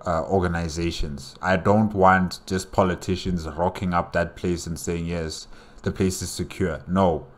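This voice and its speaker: Reaction host: male, low pitch, casual and opinionated, thinking aloud with some hesitation. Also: a little mellow. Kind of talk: casual off-the-cuff commentary.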